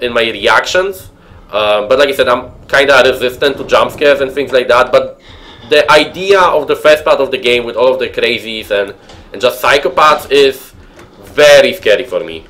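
A man's voice: medium pitch, moderate pace, fairly bright tone. A young man from Poland with a Polish accent, streaming a horror game from a room at home, chatting with viewers and muttering nervously. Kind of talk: horror game commentary with chat.

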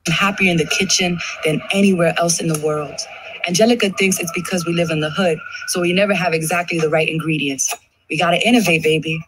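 A woman in her thirties performing her poetry in a rhythmic, expressive spoken-word style. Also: lowish voice, quick pace, background noise. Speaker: poet. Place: studio. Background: music.

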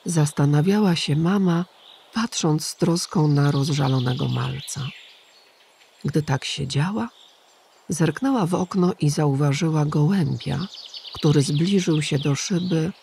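A woman reading a children's story aloud as its narrator, softly and gently. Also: low voice, creaky, slight background noise. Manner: slow, soft and gentle.

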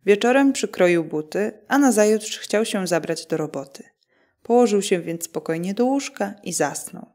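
A woman narrates a scripted bedtime fairy tale. Her voice sits at a middle pitch for a woman, and she reads the story for listeners about to sleep. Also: animated, normal speed, a little mellow.

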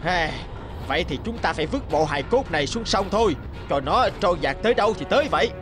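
A mean, greedy cartoon thug, played by a man, taunting in a raised, lively voice. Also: sits high, fast, music in the background. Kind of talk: cartoon villain acting.

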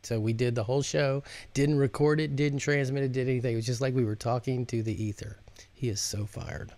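A man's voice: mid-range voice, steady tone, a little fast, darkish tone, dry recording. A man in his fifties hosting a live stream, speaking as an author who chats informally and reads his audiobook aloud. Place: indoor studio, close up, virtual backdrop. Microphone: large microphone on a stand in front of him.

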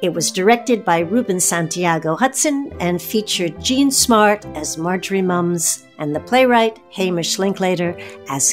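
A woman hosting a radio show, presenting credits and putting questions to guests. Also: mid-range voice. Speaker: host.

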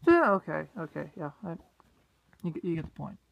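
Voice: mid-range voice, very animated, moderate pace, vocal fry, rough recording. A vlogger chatting casually into a handheld phone in a playful, rambling way. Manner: playful, rambling, breaks into silly singing. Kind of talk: casual vlog chat. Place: handheld while walking outdoors, in a store and in a room.

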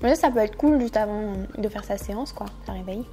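A woman's voice: medium pitch, brisk, dark tone. A young adult woman, chatting casually to the camera as a vlogger. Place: small furnished room at home, later inside a parked car. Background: background music.

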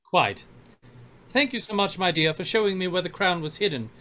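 A man playing a smug, menacing gentleman thief in an old-time radio mystery, with a suave, mocking manner. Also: sits high, animated, normal speed. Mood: gloating.

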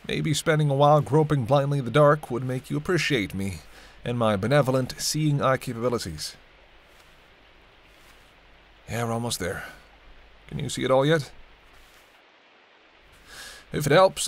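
A man's voice: medium pitch, a little fast, close to an everyday voice. A man playing a sardonic but protective vampire in an intimate roleplay audio drama, bantering and speaking straight to the listener. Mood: playful, sardonic. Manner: bantering, wry, murmured asides. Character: teasing, sardonic male vampire, centuries-weary but protective.

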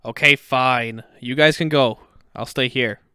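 A man plays a weary, grumpy cartoon dad. He grumbles in a deadpan way, with a clean, smooth, mid-pitched voice and a dry, close sound. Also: a little slow, no room sound. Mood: resigned. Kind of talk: fan fiction dramatic reading.

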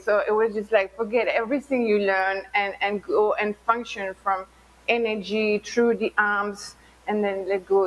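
Middle-aged Belgian-raised woman on a dull video call. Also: medium pitch. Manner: animated, non-native phrasing, hand gestures. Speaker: artist guest.

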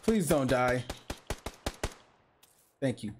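A woman's voice: very deep voice, big pitch swings, drawn-out words, darkish tone, slightly rough. Young woman streamer on a headset, super dramatic, voice swings all over, cusses a lot. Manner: dramatic, panicky, swears a lot. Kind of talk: live gameplay chat. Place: home gaming setup, indoors. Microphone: gaming headset.

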